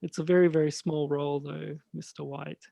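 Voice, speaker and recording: A man with a high, light voice hosting a casual podcast and directing, speaking in a hesitant, halting way. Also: level tone, gravelly, mellow, no echo. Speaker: host and radio play director.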